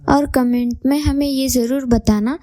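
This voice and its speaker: A woman's voice: sits high, steady tone, unhurried, dark tone, no echo, clean studio sound. A woman narrating a scripted moral bedtime story for children.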